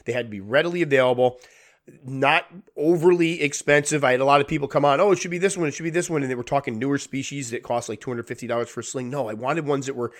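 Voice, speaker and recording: A man's voice: mid-range voice, fast. Stuffy-nosed man hosting a chatty podcast. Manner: chatty, rambling with restarts. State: stuffy nose from a cold. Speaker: podcast host. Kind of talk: casual solo podcast talk.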